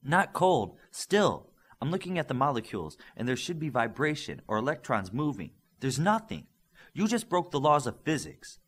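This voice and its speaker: A man's voice: medium pitch, big pitch swings, normal speed, breathy edge, voice pushed up. A man voicing a motherly woman scientist with an eager, emphatic manner in an audiobook. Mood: excited.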